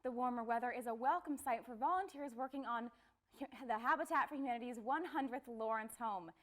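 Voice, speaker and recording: A woman's voice: fairly high pitch, brisk, fairly bright tone. A woman with an American accent reading a scripted introduction as a television news anchor.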